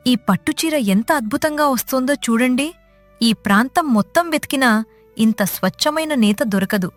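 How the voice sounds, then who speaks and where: A woman acts a poor weaver's devoted wife in an audio tale. Her voice is fairly high, her manner gentle, respectful and consoling, with soft music behind. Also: lightly acted. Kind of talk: audio moral story acting.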